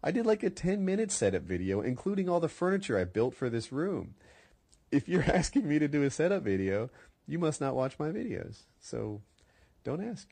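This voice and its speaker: A man's voice: a little high, sing-song, moderate pace, creaky, a little mellow, breathy edge, no echo. A man hosting a podcast, chatting casually and telling rambling, conversational stories. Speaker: podcast host. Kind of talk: casual chat and storytelling.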